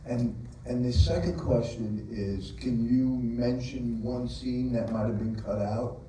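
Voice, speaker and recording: A man in the audience at a theater Q&A asks a two-part question in quick, stumbling phrases. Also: mid-range voice, mellow. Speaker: audience member. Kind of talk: asking a question at a Q&A. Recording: echoey theater hall, distant mic, noisy.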